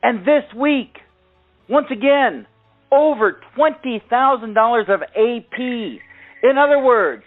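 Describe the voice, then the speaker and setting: A high-voiced man hosts a sales conference call over a narrow phone line. He delivers a prepared motivational introduction with deliberate emphasis, repeating key figures. Music plays softly behind him. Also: animated. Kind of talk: scripted motivational introduction of a top seller.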